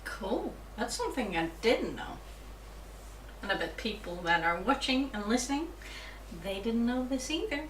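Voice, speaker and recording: A woman hosting a casual interview, her fairly high voice lively as it rises and falls. She talks slowly and laughs easily. Her voice sounds far from the mic in a roomy space with faint noise. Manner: giggly, encouraging.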